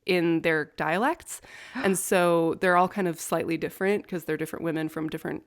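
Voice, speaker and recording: A low-pitched young woman co-hosts a chatty podcast. She tells history with dry, profane humor. Her voice sits deep for a woman and stays relaxed and conversational. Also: brisk. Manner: wry, profane, nerdy about law. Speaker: podcast co-host. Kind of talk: casual storytelling chat.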